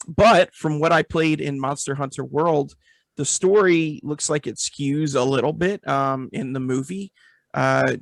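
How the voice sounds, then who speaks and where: A man's voice: mid-range voice, vocal fry, no echo. A man hosting a casual movie review chat. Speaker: podcast host.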